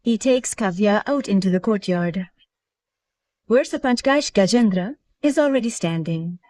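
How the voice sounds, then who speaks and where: A woman's voice: medium pitch, lively intonation, a little slow, warm tone, no room sound, recorded from a distance. A woman reading scripted narration for a moral story.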